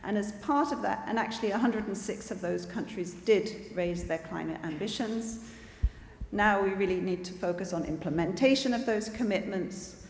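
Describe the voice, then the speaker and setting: A woman on a conference panel giving a formal, earnest speech, measured and with frequent restarts. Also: medium pitch, creaky, slight background noise. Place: large conference hall. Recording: roomy hall reverb.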